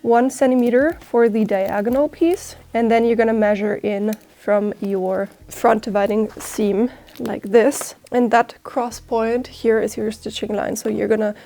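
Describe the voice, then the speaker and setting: Young woman, German first language, host of a sewing tutorial, slightly high voice, cheerful step-by-step explaining. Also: steady tone, measured pace, creaky, few pauses, warm tone. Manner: upbeat, explanatory, chatty. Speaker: sewing teacher.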